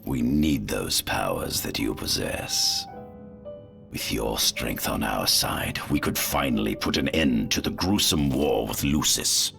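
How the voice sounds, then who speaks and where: An actor playing a sly, coaxing old male scientist-official in a video game cutscene, with gravelly, calculated persuasion. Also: very deep voice, expressive, slow, heavily acted. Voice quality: gravelly, creaky. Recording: loud orchestral music behind.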